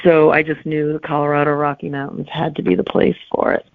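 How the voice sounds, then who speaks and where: A woman's voice: low-pitched, steady tone, fairly quick, gravelly. A middle-aged woman, a guest author chatting casually in an interview over a narrow phone-like connection. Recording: tinny narrow phone-like line.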